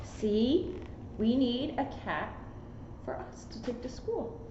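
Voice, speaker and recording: A woman's voice: fairly high pitch, animated, takes it slowly, dark tone. A woman, a police officer co-hosting a children's reading, giving casual, supportive commentary. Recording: echoey room, muffled, faint noise.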